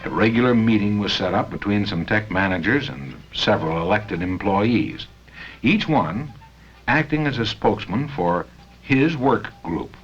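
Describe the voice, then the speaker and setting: An American man reading scripted narration for a 1970 company training film, with measured, deliberate phrasing and pauses. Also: on the low side, animated, soft background music. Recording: dull 1970 film soundtrack.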